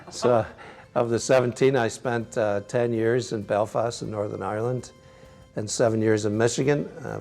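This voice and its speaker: Low gravelly Scottish priest. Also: male voice, a little mellow. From Scotland. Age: middle-aged. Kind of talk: reflective interview answers.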